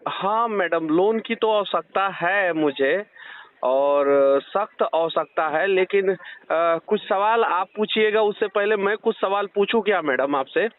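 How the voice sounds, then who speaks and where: A man is on a phone call. He complains in an insistent, argumentative way with sarcastic jabs. The recording is muffled and thin, like a low-quality call capture. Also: measured pace. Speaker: telemarketing call recipient.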